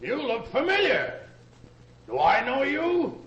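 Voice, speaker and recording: A gloating, mocking farmer in an old radio play. He is high-pitched and very slow, drawing out his taunts theatrically. The sound is echoey and lo-fi. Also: wildly swooping pitch, fairly bright tone, exaggerated voice. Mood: calling, suspicious. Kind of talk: old-time radio acting.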